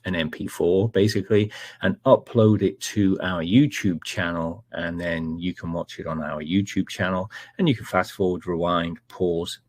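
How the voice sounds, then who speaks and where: A middle-aged Scottish man presenting a live technical webinar in a relaxed, chatty way, with frequent ums and uhs. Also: low voice, normal speed, dry recording. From Scotland.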